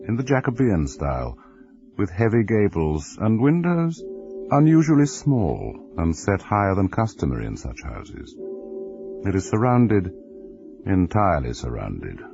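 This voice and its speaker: An actor playing a macabre storyteller host, narrating radio horror with ominous, theatrical deliberation. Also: male voice, sits low, vocal fry, muffled recording, heavily acted.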